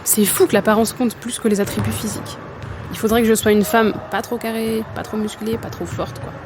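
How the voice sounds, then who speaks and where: A woman's voice: fairly high pitch, level tone, a little fast, over music, natural voice. A woman playing an embattled, doubtful young athlete in a French audio drama, earnest and colloquial. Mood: weary, candid. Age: young adult. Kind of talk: naturalistic French audio fiction acting.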